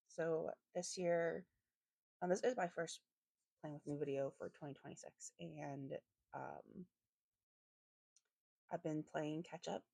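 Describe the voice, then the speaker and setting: A woman with a slightly raspy voice giving a casual craft voiceover, rambling hesitantly and often correcting herself as she goes. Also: deep voice, darkish tone, no room sound. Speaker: planner vlogger and host.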